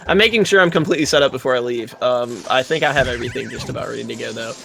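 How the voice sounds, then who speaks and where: A man hosting a live stream, chatting casually with friends, excitable and quick to laugh and shout. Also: mid-range voice, vocal fry.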